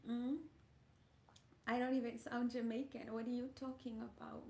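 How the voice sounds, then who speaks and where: A woman's voice: on the high side, level tone, normal speed, vocal fry, dark tone, echoey room. A woman hosting a livestream, chatting casually and playfully with viewers, teasing and laughing often. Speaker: livestream host.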